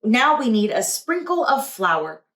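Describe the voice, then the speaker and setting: Woman reading as a lively, kindly grandmother, highish voice. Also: measured pace, echoey room.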